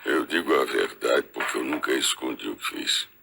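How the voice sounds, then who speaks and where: An actor playing a proud, unrepentant colonel on trial in a television period drama, speaking bluntly and defiantly. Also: male voice, rumbling low, moderate pace, creaky. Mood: cold defiance.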